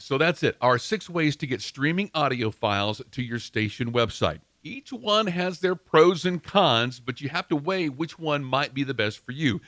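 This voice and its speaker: A man's voice: mid-range voice, fairly bright tone, no echo, clean studio sound. A man presenting an instructional podcast as its host, explaining things step by step and addressing the listener directly.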